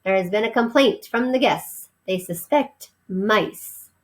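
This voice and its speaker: A woman playing a storybook head housekeeper. She is exacting and gives orders crisply, with strict authority. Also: mid-range voice, a little slow, close to an everyday voice. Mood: stern. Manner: crisp, commanding.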